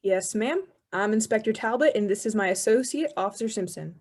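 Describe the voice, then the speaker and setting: A woman's voice: mid-range voice, animated, brisk, darkish tone. A young woman playing a suspicious male police inspector in a radio play, asking clipped, methodical questions in a formal way. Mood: formal, probing. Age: young adult. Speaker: student actor.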